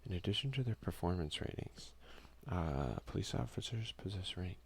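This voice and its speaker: A man doing a soft-spoken, unhurried ASMR game playthrough, reading text aloud with casual asides. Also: deep voice, lively intonation, creaky, slight background noise. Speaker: let's play streamer.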